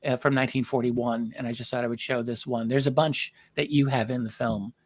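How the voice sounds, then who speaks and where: A man hosting a conversational interview, speaking in a thoughtful, halting way with repeated words. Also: mid-range voice, fairly even intonation. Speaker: host interviewing a documentary filmmaker.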